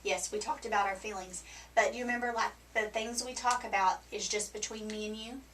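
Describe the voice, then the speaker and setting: A woman counselor with a scratchy, hoarse voice, explaining things gently and patiently to a client in a counseling room. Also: medium pitch, steady tone, brisk. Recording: distant mic with faint background noise.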